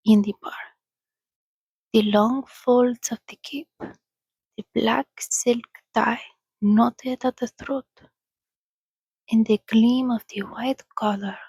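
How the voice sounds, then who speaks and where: A woman reading a story aloud in a soothing, breathy, soft-spoken ASMR style, often slipping into a whisper, close to the microphone. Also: on the high side, dry recording, rough recording. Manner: soothing, unhurried. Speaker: reader.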